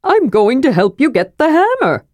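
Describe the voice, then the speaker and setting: A woman's voice: sits high, sing-song, normal speed, darkish tone, dry recording, pitched above the performer's own voice. A woman voicing an eager, chatty, childlike male kender adventurer in a fantasy audiobook, given to wailing and arguing. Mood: eager, argumentative.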